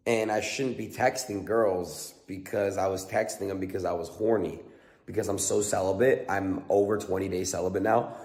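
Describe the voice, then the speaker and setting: A man's voice: deep voice, fairly even intonation, moderate pace, darkish tone. A young man talking in an earnest, rambling confessional vlog, full of fillers. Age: young adult. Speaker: streamer in a played clip. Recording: roomy echo with long reverb.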